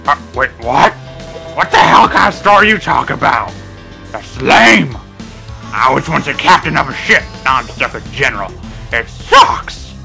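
A man's voice: medium pitch, very animated, a little slow, creaky, sharp tone, faint music behind, full character voice. A man voicing a grumbling, obedient reptile army general in an amateur cartoon parody dub. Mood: dutiful, grumbling.

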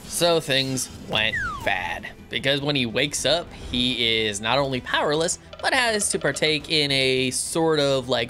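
A man in his thirties talking expressively and conversationally in a casual review, close on the microphone in a home studio. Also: mid-range voice. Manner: expressive, conversational, acting out reactions and quotes. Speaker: YouTube reviewer and host. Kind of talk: casual spoken review to camera. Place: small home studio room. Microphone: close microphone on a boom arm.

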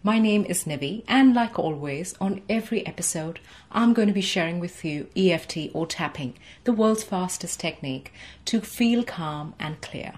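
A young woman coaching a guided tapping session from her home office, speaking in slow, soothing, rhythmic repeated phrases for the listener to echo. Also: lowish voice, animated, darkish tone. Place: home office with framed certificates, indoors.

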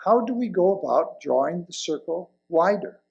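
An elderly man reading a sermon from a lectern, with deliberate, emphatic phrasing and pauses. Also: high voice, lively intonation, creaky, darkish tone, lo-fi sound. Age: older, around 70s or 80s. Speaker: lay presenter reading a sermon. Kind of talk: sermon read from a pulpit. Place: indoors at a wooden lectern in a church hall. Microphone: lapel mic.

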